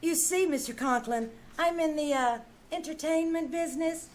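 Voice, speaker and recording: A woman's voice: sits high, moderate pace, natural voice. A woman playing a coy, sassy dancer who is brazenly businesslike, in an old-time radio comedy. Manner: coy, suggestive, teasing.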